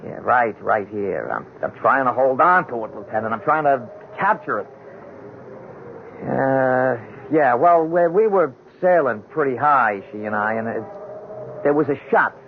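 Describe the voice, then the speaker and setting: Jailed drunkard, middle-aged, American, nervous stammer, gravelly creak, street slang, tinny 1970s radio drama. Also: male voice, mid-range voice, lively intonation, a little slow, a little hiss or hum. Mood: muddled, straining.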